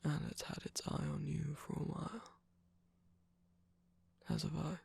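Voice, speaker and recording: A performer voicing a flirtatious, teasing male villain's minion in a romantic ASMR roleplay, husky and breathy with a coy, halting delivery that often drops into whispers. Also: medium pitch, flat delivery, darkish tone, a little hiss or hum. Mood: soft, seductive.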